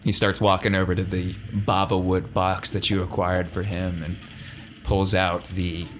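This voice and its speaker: A man with a fairly low voice runs a tabletop roleplaying game. He narrates casually and colloquially, with evident enthusiasm, over faint background noise. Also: level tone, a little slow, fairly bright tone. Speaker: game master.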